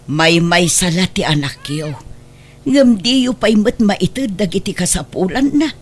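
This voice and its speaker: A woman's voice: fairly low pitch, expressive, a little slow. An actress with a Filipino accent playing an older, worried mother and grandmother who scolds and laments in a radio drama. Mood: reproachful. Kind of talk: Ilocano radio drama acting.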